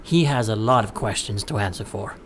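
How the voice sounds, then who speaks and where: An actor playing a mysterious, businesslike man in a suit in an audio drama, speaking in a clipped, measured, formal way. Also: on the low side, a little hiss or hum, lightly acted. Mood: cool, measured.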